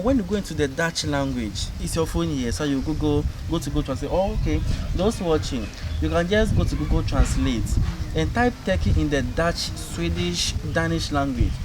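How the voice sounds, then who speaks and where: A man with a Ghanaian accent hosting a casual on-camera chat, energetic and loud as he quizzes his guest. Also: a little high, noisy background. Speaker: show host.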